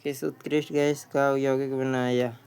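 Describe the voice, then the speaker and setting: A man's voice: medium pitch, flat delivery, moderate pace, a little mellow, clear, resonant tone. A man teaching an exam practice lesson, reading out questions and answers and often repeating a question before answering.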